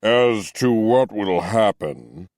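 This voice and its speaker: A man doing a stern, measured old captain. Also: fairly low pitch, lively intonation, no room sound, studio quality. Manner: slow, measured, gently admonishing. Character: stern but kindly male captain, middle-aged. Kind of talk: audiobook character voice.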